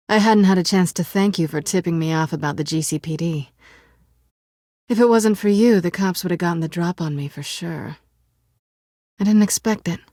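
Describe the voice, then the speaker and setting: Flirty guarded thief woman from a video game, american, breathy husky, teasing, super dry close recording. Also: fairly low pitch, mellow, no echo. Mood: soft, intimate. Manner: teasing, coolly wry. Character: sly, guarded woman cat-burglar with a flirtatious edge.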